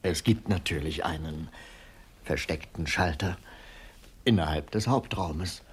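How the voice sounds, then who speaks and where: A criminal gang's inside expert in a 1970s radio comedy. His voice is deep and rough-edged. He speaks in a dry, measured, precise and matter-of-fact way. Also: full character voice. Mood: dry, factual. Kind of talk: 1970s German radio crime comedy acting.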